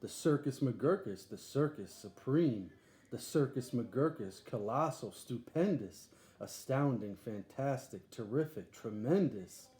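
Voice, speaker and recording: A man's voice: medium pitch, mellow, close to an everyday voice. A man voicing an eager, boastful boy showman in a storybook, barking grandly like a ringmaster. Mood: grandiose, excited. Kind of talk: storybook read-aloud character. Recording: echoey room, faint background noise.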